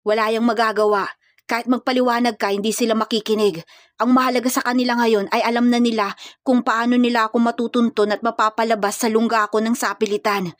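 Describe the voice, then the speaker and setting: A woman's voice: a little high, little pitch movement, fast, no room sound, studio quality, lightly acted. A woman voicing a serious, protective young male hired killer in a dramatized romance story, firm and commanding. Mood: grave, explaining.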